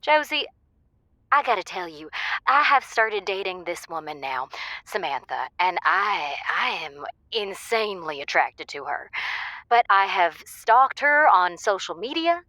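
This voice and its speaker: A woman playing an excitable, dramatic radio caller obsessed with secretive lovers, in a comedic audio drama. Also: medium pitch, a little slow, no room sound, rough recording. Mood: excited. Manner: gushing, dramatic, emphatic delivery.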